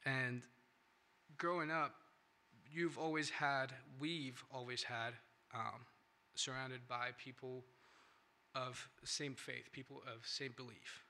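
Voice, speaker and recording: Bright-voiced young man giving testimony. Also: mid-range voice, fairly even intonation, takes it slowly, pauses often. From India. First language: non-English first language. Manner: earnest, rambling with restarts and "right?" tags. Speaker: church speaker. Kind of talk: personal testimony talk. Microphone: handheld or stand microphone.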